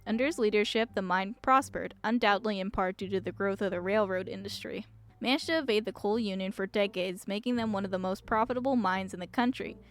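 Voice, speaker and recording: A woman's voice: medium pitch, brisk. A woman streamer reading visual novel text aloud. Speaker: game streamer. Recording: music behind the voice. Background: music.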